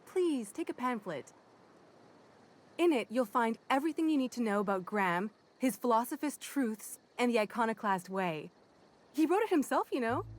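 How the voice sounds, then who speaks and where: An actress playing a perky, naive commune greeter in a video game, chirpy, folksy and over-eager. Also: female voice, high voice.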